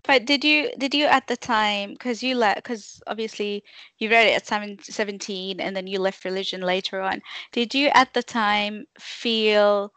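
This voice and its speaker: A young woman hosts an interview from a small room, speaking through a headset. Her voice is bright and crisp, and the sound is dry and close. She presses with quick, curious follow-up questions. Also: mid-range voice, steady tone, dry recording. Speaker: host. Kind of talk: interview on a video call.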